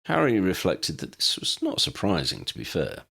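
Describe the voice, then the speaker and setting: Male audiobook narrator, low and gravelly, hugely varied intonation, scripted fiction. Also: huge pitch swings, normal speed, bright, forceful tone.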